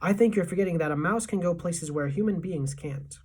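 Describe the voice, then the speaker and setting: A woman's voice: lowish voice, brisk, a little mellow, husky, recorded from a distance. A woman voicing a clever young boy turned mouse, speaking earnestly and carefully in a storybook. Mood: eager, clever.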